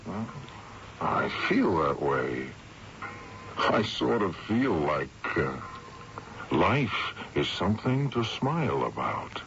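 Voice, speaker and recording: Old husband, very low voice, swinging intonation, hesitant, dazed, radio play. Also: male voice, sing-song, creaky, dull audio, over music, heavily acted. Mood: grateful, wondering. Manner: halting, stammering. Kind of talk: radio drama acting. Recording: band-limited noisy radio broadcast.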